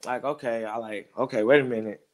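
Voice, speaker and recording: A man's voice: medium pitch, fairly even intonation, brisk, darkish tone. A man in his thirties, a music producer talking casually as an interview guest, in a laid-back, rambling way. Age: 30s. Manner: laid-back, rambling, keeps saying "you know what I'm saying".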